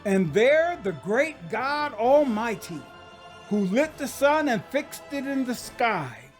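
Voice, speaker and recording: A man's voice: big pitch swings, slow pace. Man reciting poetry with sweeping theatrical range. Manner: solemn, reverent, swelling from hushed whispers to booming declamation. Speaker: poet performing a dramatized poem. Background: music.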